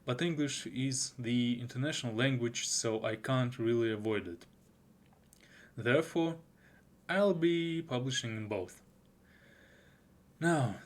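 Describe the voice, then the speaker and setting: A young man whose first language is Russian, talking through an unscripted anime review with lots of restarts and fillers. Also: medium pitch. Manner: halting, with frequent restarts and fillers. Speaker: YouTuber.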